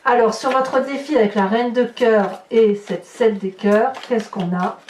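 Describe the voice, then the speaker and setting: A woman with a slightly high voice gives an improvised tarot reading to her audience. She comments card by card with exclamatory, astonished asides. The sound is clearly roomy and a little distant from the microphone, with faint noise behind it. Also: a little slow, darkish tone. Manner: exclamatory, astonished asides while turning cards. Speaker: tarot reader and channel host. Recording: roomy echo, distant mic, background noise.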